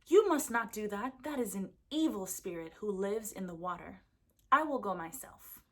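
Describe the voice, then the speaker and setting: A woman's voice: medium pitch, lively intonation, normal speed, a bit bright. A woman voicing a stern, wary mother in a folktale, firm and commanding. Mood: alarmed, firm. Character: stern, wary village mother of two children.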